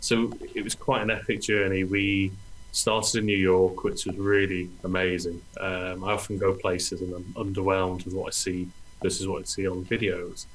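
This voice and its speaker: A man's voice: sits low, flat delivery, moderate pace, a bit bright, slight background noise, poor audio. A middle-aged man, answering interview questions over a video call in a friendly, chatty way. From Wales. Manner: friendly, chatty, uses "um" often. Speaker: guest, treasure searcher.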